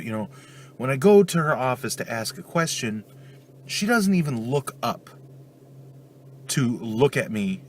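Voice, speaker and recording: A man's voice: lowish voice, slight background noise. A man with a stuffed-up cold talking casually in a daily vlog, venting his frustration. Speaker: vlogger.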